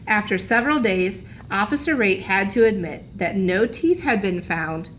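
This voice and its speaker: A woman teacher reading a children's storybook aloud in a deliberate, expressive way. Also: mid-range voice. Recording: background noise in room recording.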